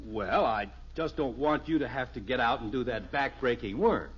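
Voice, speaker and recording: A man's voice: mid-range voice, moderate pace, slightly rough, natural voice. An actor playing a genial, evasive middle-aged suburban father in a 1950s radio sitcom, folksy and hesitant with his excuses. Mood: sheepish. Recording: muffled vintage radio recording.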